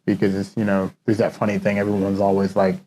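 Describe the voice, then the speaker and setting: A man with a low, mellow voice talks as an interview guest, thinking aloud in a loose, reflective way and leaning on "you know" as he goes. A faint hum of room noise sits under him. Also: completely flat delivery, quick pace, low-quality recording. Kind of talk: casual conversational interview.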